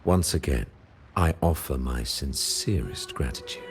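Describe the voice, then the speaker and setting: An actor voicing a stern, solemn religious ruler in a video game, with formal, ceremonial and pompous oratory. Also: male voice, very deep voice, animated, a little slow, faint music behind, exaggerated voice. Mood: solemn, measured.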